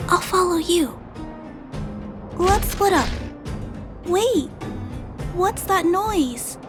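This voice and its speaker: A woman voicing an earnest, polite young girl in a video game, speaking gently and carefully. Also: very high-pitched, animated, extremely slow, heavily acted. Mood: curious, earnest. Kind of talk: animated video game voice acting. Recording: music behind the voice.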